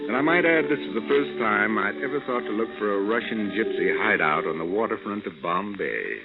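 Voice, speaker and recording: An actor playing a confident, wisecracking adventurer, brisk and wry, in a 1940s radio serial. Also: male voice, fairly low pitch, expressive, over loud music. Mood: suave, wry. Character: confident, wisecracking male adventurer, leader of the pair. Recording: noisy, tinny old-time radio recording.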